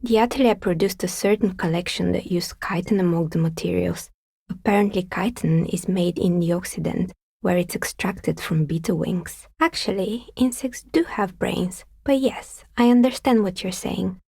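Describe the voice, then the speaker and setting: An actress voicing a dry, sceptical woman dicemaker in a video game, with a soft, breathy, husky voice and a wry, understated delivery. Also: on the low side, normal speed, dry recording. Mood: wry, matter-of-fact. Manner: wry, understated, matter-of-fact. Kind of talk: video game character dialogue.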